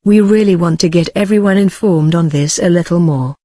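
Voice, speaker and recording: A woman's voice: on the low side, brisk, mellow, no room sound, studio quality. A woman interviewer with a formal delivery, reading scripted narration and questions.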